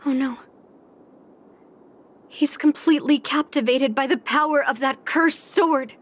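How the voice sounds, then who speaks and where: A woman's voice: high voice, a little slow. A woman playing a headstrong young sword fighter in a video game, forthright and insistent. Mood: resolute, defiant. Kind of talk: dramatic video game voice acting.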